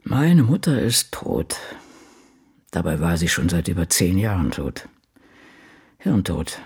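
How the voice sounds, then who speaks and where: Bitter old lady author muttering diary entries in a radio play, dry and clipped, pitch moves a lot, bit of music behind. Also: very animated, slow, a little mellow, husky, exaggerated voice. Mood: bitter, dark. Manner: dry, brooding, clipped fragments, muttering to self. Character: embittered old woman writer, seventy, brooding and solitary. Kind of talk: radio drama diary monologue.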